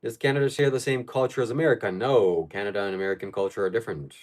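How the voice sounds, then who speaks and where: Live streamer from Ukraine, male, lowish voice, blunt and opinionated, chatty Q&A. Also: steady tone, racing. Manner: blunt, opinionated, answers chat questions aloud. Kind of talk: casual live chat reading viewer questions.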